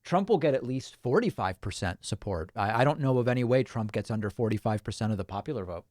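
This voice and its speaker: A man whose first language is Spanish, born in Argentina, hosts a live political stream in an offhand, chatty way, reading out viewer messages and tossing in quick replies. His voice sits at an ordinary middle pitch for a man. The sound is dry and close, with no room echo. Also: animated, a little mellow. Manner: casual, offhand, frequent ums and uhs. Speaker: political commentary host. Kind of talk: livestream chat, reading viewer comments.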